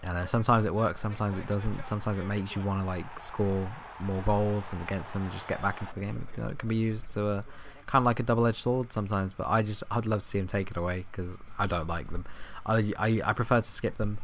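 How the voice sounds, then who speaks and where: A young man talks over football gameplay in a somewhat low, hoarse voice that keeps threatening to give out. He rambles with ums and restarts, and a faint hum sits under the home recording. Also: steady tone, fast, hardly any pauses. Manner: rambling, filler-heavy, self-correcting. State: hoarse, coughing, voice going. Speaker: gaming YouTuber. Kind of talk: casual gameplay commentary.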